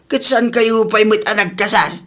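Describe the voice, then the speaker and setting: A man's voice: sits high, fairly even intonation, normal speed, pushed and bright. A man playing a worried, grumbling older father in a radio drama, questioning in a fretful, plain-spoken way.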